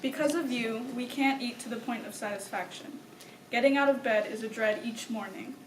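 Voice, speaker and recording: A young woman in her late teens reads a slam poem aloud with earnest, rhythmic spoken-word delivery, her voice pitched a little high. She was taped from across an echoey room, with a faint hiss underneath. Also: a little fast, recorded from a distance. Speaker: poet. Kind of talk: slam poetry performance. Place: room at a writing camp.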